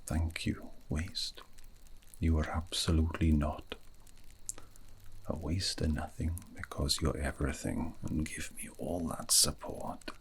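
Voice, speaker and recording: A man playing a gentle, wistful fantasy mage in an ASMR roleplay, soothing a weary friend to sleep in a formal, poetic way with a low, breathy whisper. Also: level tone, takes it slowly, slight background noise, exaggerated voice, low-quality recording. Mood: soothing, tender.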